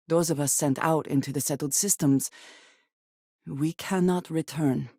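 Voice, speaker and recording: Loyal space adventurer, female, guarded, earnest, formal measured diction, video game companion, dry studio-like sound. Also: sits low, mellow, no room sound. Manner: formal, measured, precise diction without contractions.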